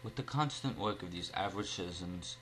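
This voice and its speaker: A man's voice: low-pitched, brisk, a bit bright, a little hiss or hum, poor audio. A man reading scripted newsreel narration as the narrator.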